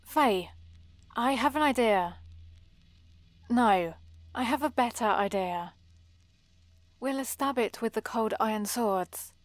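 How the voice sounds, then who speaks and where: Girl doing a snooty fed up vampire librarian lady for asmr roleplay, highish voice, fussy n bossy, super dry close sound. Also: animated, takes it slowly, long pauses, no room sound, soft background music. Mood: urgent, exasperated. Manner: haughty, scolding, fussy, theatrical. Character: exasperated, prim, bossy female vampire librarian. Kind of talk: scripted ASMR roleplay acting.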